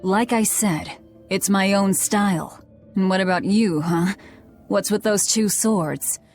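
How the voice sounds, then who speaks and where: A woman's voice: medium pitch, takes it slowly, darkish tone, faint music behind. An actress playing a guarded young woman demon who devours her enemies, speaking in a curt, cold manner in a video game cutscene.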